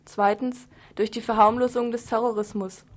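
A woman acts as the quotation reader in a scripted radio programme. Her voice is a little higher than average for a woman. She reads prepared texts aloud. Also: level tone, normal speed. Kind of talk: scripted reading of quoted texts.

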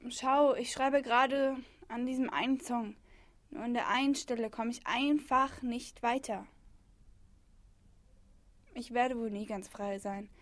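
Woman as a cool young songwriter, dry recording, highish. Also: dry recording, close to an everyday voice. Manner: casual, slangy, hesitant. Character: cool young female street songwriter, frustrated, longing for freedom. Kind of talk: German radio play dialogue.

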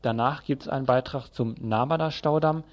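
A man hosts a live news programme on community radio. His voice is medium in pitch, and he gives the rundown and announcements in a semi-scripted way. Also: normal speed.

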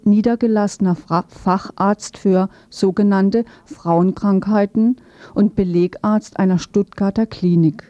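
A woman's voice: medium pitch, dark tone. A woman presents a scripted radio news feature, reading it aloud in a sober, matter-of-fact way. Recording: dull, roomy lo-fi radio recording.

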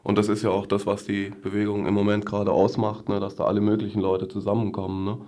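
A man's voice: on the low side, monotone, fast, mellow. A man speaking colloquially as an activist being interviewed, often tagging his statements with little questioning checks. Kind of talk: casual spoken interview.

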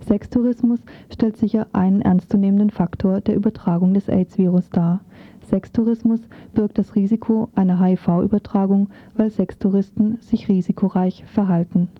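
A woman's voice: medium pitch, fairly even intonation, normal speed. Woman presenter reading a radio report, muffled tinny phone-like sound, no room echo. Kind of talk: scripted radio feature narration.

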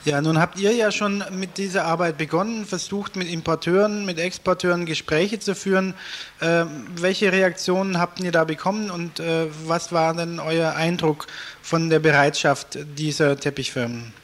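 A man interviewing on the radio in an informal, somewhat halting way. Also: fairly high pitch, fairly even intonation, a bit bright.

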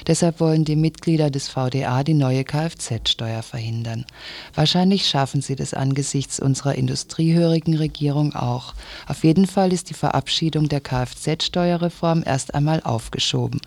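A woman's voice: sits low, mellow. A woman who is a novice newsreader on the radio, recorded on a studio microphone. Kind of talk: scripted news reading. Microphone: studio microphone in a speaker's booth.

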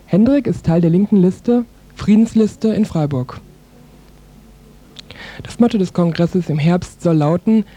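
Very dark, soft-toned woman interviewer. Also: lowish voice. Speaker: radio interviewer. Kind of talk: scripted introduction and interview question.

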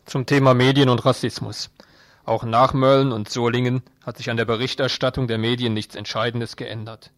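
A man's voice: mid-range voice, little pitch movement, normal speed, no room sound, studio quality. A man presenting a scripted news introduction on the radio.

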